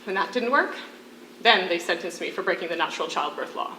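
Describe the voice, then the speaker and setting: A woman acting in a stage play as a defiant condemned prisoner and devoted mother, speaking in earnest, deliberate phrases. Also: fairly low pitch, expressive, sharp tone. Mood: grieving, grave. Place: theatre stage, live performance. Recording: echoey stage recording with faint noise.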